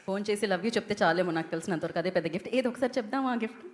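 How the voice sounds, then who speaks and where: A woman's voice: medium pitch, very fast. A woman with a Telugu Indian accent hosting a casual stage interview, chatty and prompting, mixing Telugu with English words. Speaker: event host. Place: film promotion event.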